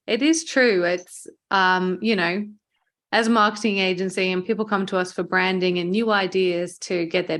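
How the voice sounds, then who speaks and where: A woman's voice: medium pitch, a bit bright. A woman hosting a podcast, chatting conversationally in an encouraging, affirming way. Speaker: podcast host, marketing agency owner. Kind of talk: conversational interview chat.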